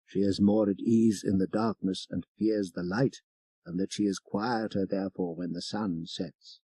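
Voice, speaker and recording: A man voicing a stern, patient old French priest in an audiobook, speaking in a measured, deliberate way. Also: fairly low pitch, sing-song, vocal fry, warm tone, breathy edge, no room sound, exaggerated voice, low-quality recording. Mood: gently knowing. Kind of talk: audiobook character dialogue.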